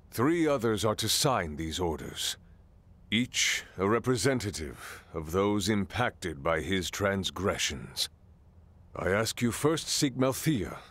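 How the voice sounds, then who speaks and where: Slow, deep regal king. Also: fairly bright tone, dry recording, full character voice. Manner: formal, stately, deliberate phrasing. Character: solemn, regal king and father, measured and authoritative. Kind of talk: video game cutscene acting.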